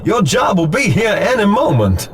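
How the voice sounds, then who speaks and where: Theatrical, high-swinging thrill-hungry showman. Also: wildly swooping pitch. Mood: showy, teasing. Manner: theatrical, drawn-out, swaggering. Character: flamboyant, thrill-hungry showman sliding into murderous madness. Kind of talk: animated video game cutscene acting. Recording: voice under loud music.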